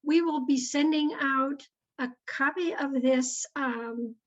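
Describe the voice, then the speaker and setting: A woman with a scratchy voice speaking on a video call, slow and halting, apologetic about interrupting. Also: high voice. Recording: dull lo-fi call audio.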